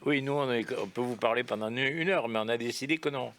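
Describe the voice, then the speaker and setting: A man's voice: mid-range voice, fast talker, a bit bright. A man on a festival panel joking and coaxing the audience to ask questions. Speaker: round-table panelist. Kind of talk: round-table chat with audience.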